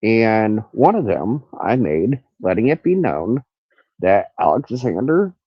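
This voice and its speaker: A man's voice: fairly low pitch, big pitch swings, slow, slightly rough, dry recording, muffled recording. A man with a nasal voice hosting a rambling, casual true crime livestream from an outdoor carport in the country. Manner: rambling, stops to look things up. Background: crickets and cicadas.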